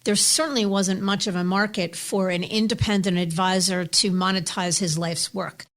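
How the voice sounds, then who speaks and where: A woman's voice: mid-range voice, fairly even intonation, moderate pace, fairly bright tone. Give me a woman host with a mid-range voice, asking polished interview questions. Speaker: podcast host. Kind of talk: scripted intro and interview questions.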